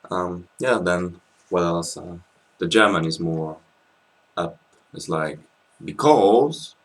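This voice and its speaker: A man in his twenties with a French accent, chatting casually as a guest, hesitant with many pauses and restarts, recorded on a laptop webcam in a small room. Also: deep voice, steady tone, a bit bright. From France. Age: 20s. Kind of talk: casual interview chat.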